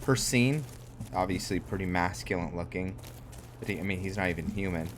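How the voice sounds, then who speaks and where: A man's voice: low voice, brisk, a little hiss or hum. A man giving casual gameplay commentary as host of a roleplay series, thinking aloud in a rambling, musing way that often trails off. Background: game music.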